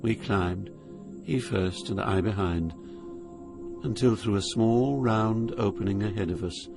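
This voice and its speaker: An actor playing a grave, awestruck pilgrim, narrating an epic journey through Hell in a solemn, measured way. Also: male voice, lowish voice, gravelly. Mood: quiet wonder. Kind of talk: dramatic scripted narration of an epic poem. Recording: loud music under the voice.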